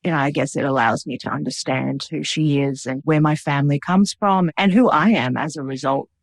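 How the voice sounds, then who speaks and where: A woman's voice: low voice, brisk, no echo. A woman with an Australian accent, a guest cook and writer, talking reflectively with lots of "like" and "you know". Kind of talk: conversational interview.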